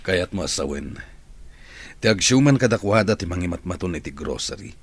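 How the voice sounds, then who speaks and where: A man playing a sober, practical adviser with a husky voice in an Ilocano radio drama. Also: low voice, lively intonation, brisk, heavily acted. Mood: measured, advising. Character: sober, practical male adviser and trusted friend.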